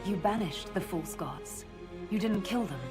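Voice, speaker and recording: An actress playing a steadfast heroic leader in a video game, questioning in a serious, direct way. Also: female voice, mid-range voice, animated, a little slow, loud music behind the voice. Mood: probing. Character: female leader of an inquisition, probing, steadfast hero. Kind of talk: dramatic video game dialogue.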